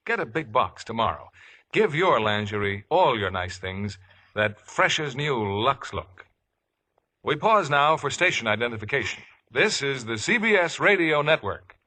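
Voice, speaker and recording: A male announcer in 1950s commercial style. His middle-pitched voice is forceful and bright, with animated, scripted salesmanship. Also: normal speed. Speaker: radio announcer.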